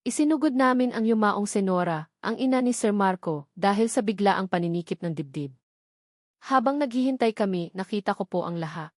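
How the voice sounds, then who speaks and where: A woman's voice: medium pitch, lively intonation, brisk, no echo, studio quality, natural voice. A woman voicing a troubled, respectful old male servant making a confession in an audio drama. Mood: remorseful, heavy. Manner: trembling, respectful.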